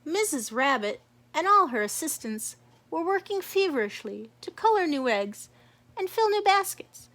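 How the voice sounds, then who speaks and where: A woman's voice: sits high, very animated, normal speed, a bit bright. A woman narrating a children's storybook as a read-aloud. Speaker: storybook reader.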